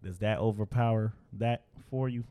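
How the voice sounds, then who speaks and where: A man's voice: lowish voice, fairly even intonation, normal speed, dark tone, no room sound. Young man in loose slangy banter. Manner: loose slangy banter, poses questions to the group. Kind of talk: casual group chat.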